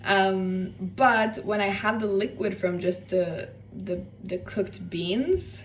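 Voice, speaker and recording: A woman's voice: mid-range voice, takes it slowly. A young woman from Norway, chatting casually and rambling off on tangents while she cooks in her home kitchen. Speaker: cooking vlogger. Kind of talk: casual cooking vlog chat. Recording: slightly distant mic with faint room noise.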